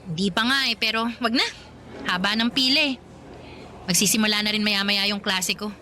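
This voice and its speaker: A woman's voice: mid-range voice, normal speed, sharp tone, a little hiss or hum, close to an everyday voice. A woman playing a young working college student, a chatty, tired girlfriend, in a conversational radio drama style. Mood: friendly, grateful.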